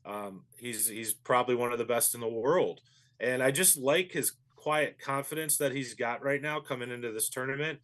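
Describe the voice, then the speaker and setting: A man's voice: medium pitch, moderate pace, a bit bright. A man, a golf professional, giving easygoing and thoughtful analysis as an interview guest. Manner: easygoing and thoughtful, with frequent fillers.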